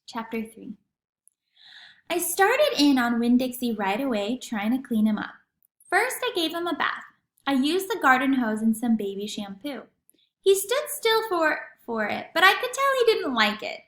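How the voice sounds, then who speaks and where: A woman reading a children's novel aloud as narrator, with expressive storybook delivery. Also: high-pitched, moderate pace. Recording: echoey room.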